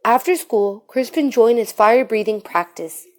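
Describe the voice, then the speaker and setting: A woman reading a children's storybook aloud in an expressive way. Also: on the high side, moderate pace. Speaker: storybook reader.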